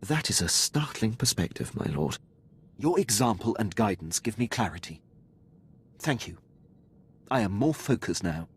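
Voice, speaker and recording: Stiff, low-voiced Imperial military officer. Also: male voice, big pitch swings, moderate pace, long pauses, breathy edge, exaggerated voice. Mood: earnest, resolute. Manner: formal, precise, clipped military diction. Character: stiff, dutiful male Imperial military officer, formal and loyal. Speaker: starship captain serving a lord. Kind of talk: video game voice acting.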